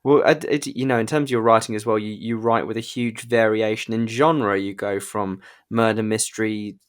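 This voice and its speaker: A man's voice: lowish voice, steady tone, moderate pace. A man hosting a casual interview, enthusiastic and encouraging, feeling his way through roundabout questions. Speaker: interviewer, podcast host.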